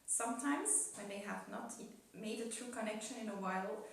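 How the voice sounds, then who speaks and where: Gentle cosmic spirit played by a woman, poetic dramatic reading, wistful, measured, raised pitch, very roomy distant recording. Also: lightly acted. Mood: wistful, solemn. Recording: echoey room, distant mic, faint noise.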